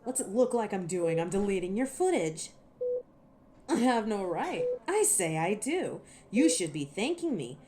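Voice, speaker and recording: Mellow, sardonic female werewolf, played by a woman. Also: mid-range voice, sing-song, unhurried, slight background noise. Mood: mocking, defiant. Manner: sardonic, teasing, taunting. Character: cocky ancient female werewolf, teasing, menacing and impatient. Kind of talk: scripted audio roleplay monologue to a listener.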